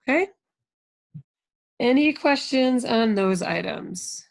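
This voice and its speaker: A woman's voice: fairly high pitch, expressive, slow pace, creaky, no echo, studio quality. An American woman leading a conference call as a program coordinator, giving an informal, encouraging briefing and often checking in with the group. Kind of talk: informal instructional briefing to coaches.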